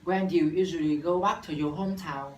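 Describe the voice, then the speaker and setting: A nineteen-year-old university student from Vietnam with a Vietnamese accent, reciting an English practice dialogue in a careful, halting way with restarts. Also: male voice, fairly high pitch. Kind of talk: scripted classroom dialogue practice. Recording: echoey room from far off, faint noise.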